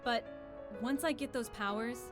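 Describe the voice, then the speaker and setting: A woman's voice: on the high side, normal speed, over music, lightly acted. A woman playing an ambitious exiled royal in an audio drama, with an imperious, earnest and assured way of talking. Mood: proud, defiant. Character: proud, ambitious exiled young female royal, would-be conqueror.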